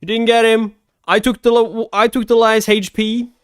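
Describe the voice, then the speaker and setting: Man putting on a shrill, petty boastful warrior. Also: flat delivery, moderate pace, clear, resonant tone, dry recording, clean studio sound, lightly acted. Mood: indignant, boastful. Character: indignant kill-stealing male warrior, petty and boastful. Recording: music behind the voice.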